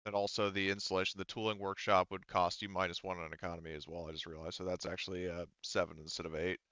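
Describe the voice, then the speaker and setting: Smooth low guy game mastering, kinda halting, thinking out loud, dry close sound. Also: flat delivery, dry recording, muffled recording. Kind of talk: casual tabletop game narration and rules talk.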